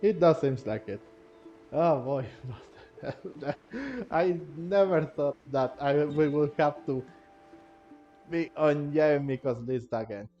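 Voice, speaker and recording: A man's voice: on the high side, slow pace, mellow. A man giving casual, rambling let's play commentary, often repeating himself. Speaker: gaming video creator. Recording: dull, lo-fi microphone. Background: game music.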